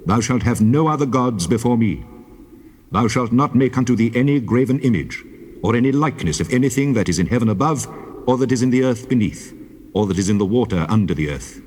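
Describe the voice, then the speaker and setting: A man playing God as a stern lawgiver in dramatized scripture, with measured, authoritative, formal archaic delivery on an old cassette. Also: medium pitch, lively intonation, darkish tone, natural voice. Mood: solemn, authoritative. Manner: solemn, measured, authoritative declamation. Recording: noisy old cassette transfer.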